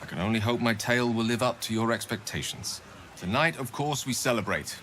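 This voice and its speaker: A man's voice: medium pitch, brisk, fairly bright tone, slight background noise, natural voice. A man voicing a courteous, bookish wizard in a fantasy game, musing in a wry, florid way. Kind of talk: video game dramatic acting.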